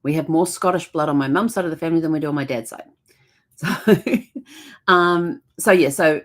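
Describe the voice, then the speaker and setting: A woman's voice: sits low, normal speed. A woman hosting a genealogy show, explaining things casually with frequent ums, restarts and self-corrections.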